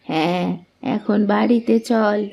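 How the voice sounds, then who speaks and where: A woman's voice: medium pitch, slow pace, creaky, darkish tone. A woman playing a kindly but firm old village woman in a dramatic fairy-tale cartoon. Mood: tender. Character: kindly, firm old village mother and lonely hut-dwelling granny.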